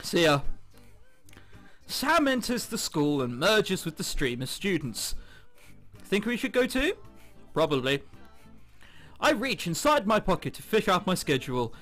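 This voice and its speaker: Male gaming commentator, bright high voice, giggly and excitable, reading game text over clear background music. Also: measured pace, long pauses. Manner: excitable, giggly, exaggerated reactions. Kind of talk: let's play commentary, reading visual novel text aloud.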